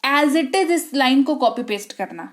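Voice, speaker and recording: Young Indian woman teacher, fairly high voice, deliberate and emphatic. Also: animated. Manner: slow, emphatic, checks understanding often. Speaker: English teacher. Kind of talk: spoken English lesson.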